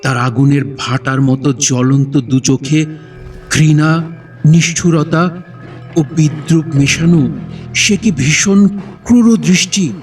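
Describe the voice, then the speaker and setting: A man narrating a horror audio drama in a dramatic, suspenseful style with deliberate phrasing. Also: on the high side, far from the mic, faint music behind.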